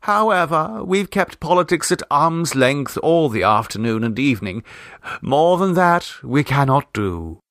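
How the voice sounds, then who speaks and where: Guy doing a posh bossy edwardian wife, high voice, very emphatic and fussy, storybook style. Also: big pitch swings, measured pace, a bit bright, no room sound, studio quality, exaggerated voice. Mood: regretful. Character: brisk, well-to-do Edwardian wife, bossy and fussily considerate.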